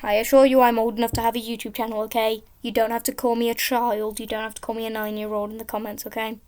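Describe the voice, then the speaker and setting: Girl with a high voice, kinda flat delivery, just rambling on while she plays. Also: fast talker. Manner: rambling and chatty, drifting off topic. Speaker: gaming YouTuber. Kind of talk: casual commentary while playing a game.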